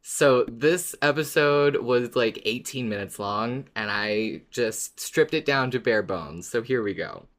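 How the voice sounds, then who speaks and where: A man's voice: mid-range voice, animated, a little slow, hardly any pauses, a bit bright. A man speaks as a podcast co-host in a mid-range voice. His delivery is conversational, suited to casual discussion and episode recaps.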